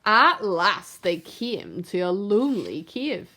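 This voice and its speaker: A woman tells a children's story in an expressive read-aloud style. Her voice is of average pitch for a woman. The melody is lively, moving widely up and down. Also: slow.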